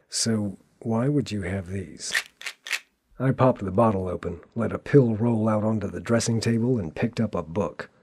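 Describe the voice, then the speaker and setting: An actor playing a wry, world-weary private detective who narrates a noir radio play in a sardonic, deadpan way. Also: male voice, lowish voice, slightly rough. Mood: coolly probing. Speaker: narrator and lead character.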